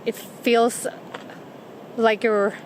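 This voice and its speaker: Hoarse woman vlogging on a windy trail. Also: a little high, little pitch movement, very slow, low-quality recording. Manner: chatty, walking while she talks. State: losing her voice from a cold. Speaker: travel vlogger. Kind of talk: casual vlog narration while walking. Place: outdoors on a coastal trail and beach. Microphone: camera microphone. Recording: windy outdoor camera audio. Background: wind and outdoor noise.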